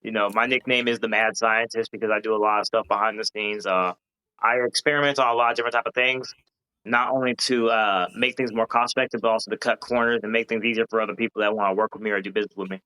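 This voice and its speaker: A man's voice: fairly low pitch, steady tone, fast, pushed and bright, smooth, rough recording. A man from Hawaii, a podcast guest, talking in an earnest, rambling way with many fillers and restarts. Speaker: guest, founder of a gaming company. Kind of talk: casual podcast interview.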